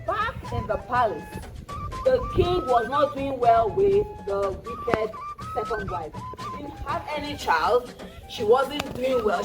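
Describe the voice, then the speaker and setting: Very animated, high-pitched Nigerian woman storyteller. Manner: animated, dramatic, asks listeners questions. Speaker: children's storyteller and educator. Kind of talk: interactive folktale storytelling for children. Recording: distant mic, loud background music.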